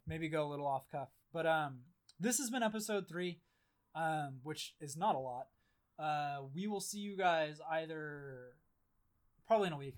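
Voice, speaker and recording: Hoarse, rambling casual male podcast host. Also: on the high side. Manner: rambling, filler-heavy, blunt and profane. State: hoarse, losing his voice. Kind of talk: casual chat.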